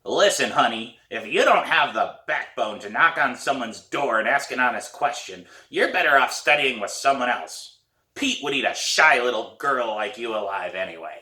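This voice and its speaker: A man voicing a gruff, sharp-tongued old woman neighbor in a storybook, with a hoarse, raspy voice and a blunt, scolding manner. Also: mid-range voice, lively intonation, normal speed, roomy sound, recorded from a distance.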